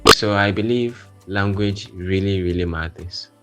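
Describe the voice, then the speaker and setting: A young man in his twenties with a deep, low voice gives an earnest, heartfelt statement, dropping in casual fillers. Faint music plays underneath. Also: a little slow, bright, forceful tone. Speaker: university student. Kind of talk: prepared personal statement.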